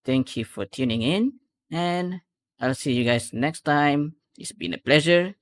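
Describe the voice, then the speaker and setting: A man's voice: a little high, lively intonation, unhurried. A man giving casual reaction commentary as a video host, rambling and often correcting himself. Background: music.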